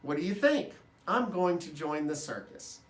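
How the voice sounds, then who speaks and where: A big, jovial night janitor played by a man reading aloud from a children's storybook. He is booming, folksy and playful, pitched a little high, in an echoey room. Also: expressive, normal speed, lightly acted. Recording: roomy echo, distant microphone.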